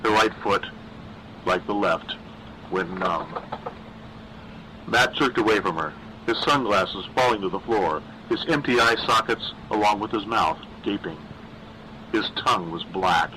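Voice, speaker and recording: A man's voice: fairly low pitch, a little slow, pauses often, pushed and bright, slight background noise, low-quality recording. A man reading a scripted horror story aloud as an audiobook narrator, with dramatic emphasis.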